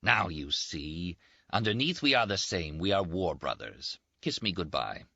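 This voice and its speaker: A man voicing a brash, teasing young Italian army surgeon in an audiobook, quick and boastful. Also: sits low, big pitch swings, vocal fry, pushed and bright, dry recording, highs cut off, full character voice. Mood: affectionate. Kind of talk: audiobook character voice.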